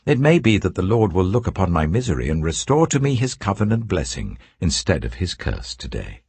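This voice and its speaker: Guy doing a weary old king for an audiobook, super deep n husky, solemn, sad but commanding. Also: sing-song, brisk, darkish tone, no room sound, full character voice. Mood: pained, resigned.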